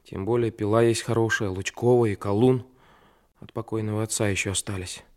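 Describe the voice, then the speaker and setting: An actor playing an earnest, anxious, brooding seventeen-year-old trainee detective in a radio drama. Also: male voice, fairly low pitch, steady tone, fairly quick, natural voice. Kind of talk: Soviet radio play acting, inner monologue.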